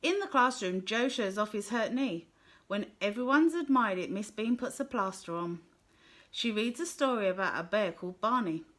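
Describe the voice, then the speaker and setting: A woman reading a children's picture book aloud in a gentle, clear storytelling manner. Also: medium pitch, expressive, normal speed. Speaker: storybook reader.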